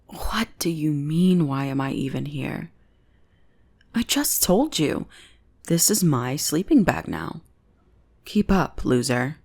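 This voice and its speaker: Goth bully girl, played by a woman: exasperated, bossy, secretly sweet on the listener, heavy vocal fry, unhurried drawl, audio roleplay. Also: sits low, exaggerated voice. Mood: smug teasing. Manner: mocking, drawling, intimate teasing. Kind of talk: ASMR roleplay acting.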